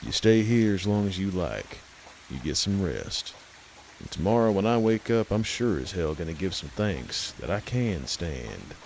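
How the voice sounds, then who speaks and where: Deep, slow-talking male narrator with a folksy fireside manner. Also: creaky, a little mellow, slight background noise. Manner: folksy, unhurried, addresses the listener directly. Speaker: storyteller host. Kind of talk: horror story narration.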